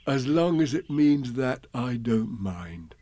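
A man voicing a simple, humble bear in a children's storybook, with a plaintive, gentle manner. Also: fairly high pitch, no room sound, pitched above the performer's own voice. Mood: humble, puzzled. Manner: plaintive, gentle, slightly slow-witted.

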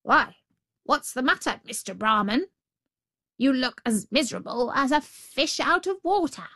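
A woman's voice: high-pitched, animated, unhurried, pushed and bright, dry recording, clean studio sound, voice pushed up, heavily acted. A woman playing a muddled, fretful storybook jackal, drawling. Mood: puzzled. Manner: muddled, hesitant, obsequious. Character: feigning dim, fretful, wheedling male jackal. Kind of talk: storybook character voice.

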